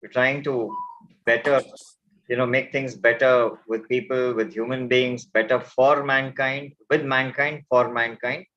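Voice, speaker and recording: A man's voice: mid-range voice, steady tone, a little slow, a bit bright. A polite, earnest man speaks as a guest on a panel held over a video call. His audio comes through a bit muffled and dull.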